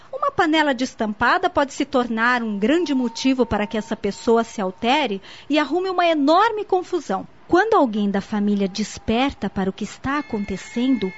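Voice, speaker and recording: A woman playing an earnest young niece in a radio soap opera, explaining things in an articulate, didactic way. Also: high voice. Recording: muffled lo-fi audio with faint noise.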